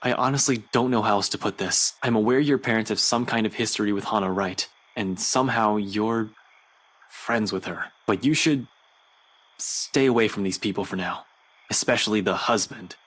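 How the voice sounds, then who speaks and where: A man's voice: fairly low pitch, moderate pace, a bit bright, poor audio. An actor playing an earnest, troubled young man in a visual novel game, speaking in a hesitant, grave way. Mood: earnest, pleading.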